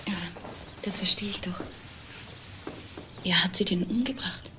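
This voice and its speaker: A woman's voice: medium pitch, unhurried, bright, forceful tone, in a whisper. Crime drama girlfriend, uneasy and hesitant, medium register, sparse tentative lines. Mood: quiet, uneasy. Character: hesitant, shaken secret girlfriend.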